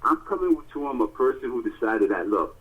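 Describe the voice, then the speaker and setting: Male podcast co-host, lively rambling storyteller, raspy voice, phone-quality audio. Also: medium pitch, fairly quick. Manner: animated, emphatic storytelling with many fillers. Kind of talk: casual phone chat. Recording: tinny phone line.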